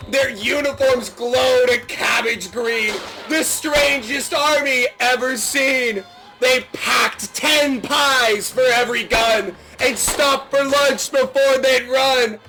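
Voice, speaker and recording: A man loudly declaiming mock-heroic slam poetry in drawn-out lines, shouting it out during an online game. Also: extremely high pitch, poor audio. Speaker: video game player reciting a mock poem. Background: loud music.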